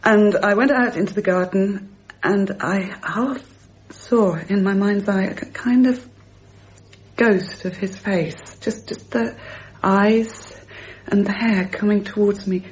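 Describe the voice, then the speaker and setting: An actress playing a rational, brittle grieving mother in a naturalistic radio drama, speaking in halting, repeated, self-correcting phrases. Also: mid-range voice, dull audio, natural voice. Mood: numb, grieving.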